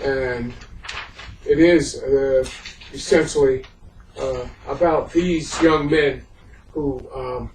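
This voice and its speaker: A man presents and reads a history book aloud in the role of a historian. His delivery moves between halting explanation and emphatic reading. He is recorded in a very echoey room, at a distance from the microphone. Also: mid-range voice, steady tone, darkish tone, slight background noise. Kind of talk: introduction and audiobook-style reading of a history book.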